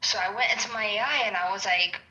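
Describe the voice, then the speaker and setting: A woman from New York with a New York accent, chatting in an excited, rambling way and swearing casually. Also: medium pitch, fast, muffled recording. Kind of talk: casual chat.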